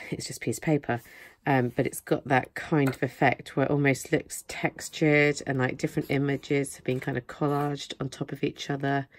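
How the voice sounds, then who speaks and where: A woman hosting a craft channel, chatting casually through a product haul while losing her voice. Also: a bass voice. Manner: chatty, rambling with many fillers. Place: indoors at a craft desk. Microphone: camera overhead, hands in frame.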